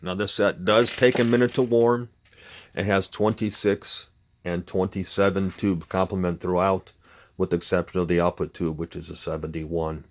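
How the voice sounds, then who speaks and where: A man with a low-pitched voice presents like a collector showing off his equipment. His delivery is informal and unscripted, explanatory in tone, with halting phrases as he works through each point. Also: steady tone. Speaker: vintage radio collector and presenter. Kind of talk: informal demonstration talk to camera.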